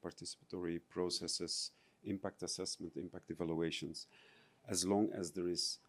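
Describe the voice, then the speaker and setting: Low-voiced Belgian man presenting. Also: a little mellow. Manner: hesitant, many fillers and restarts, non-native phrasing. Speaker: conference presenter. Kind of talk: conference presentation.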